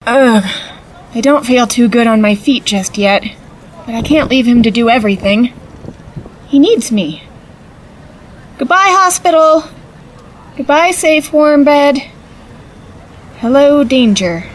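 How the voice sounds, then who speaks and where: A woman's voice: high voice, lively intonation, takes it slowly, pauses often, a little hiss or hum, rough recording. A woman acting as a plucky, wry and stubborn young female adventurer in an audio drama. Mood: wry, determined.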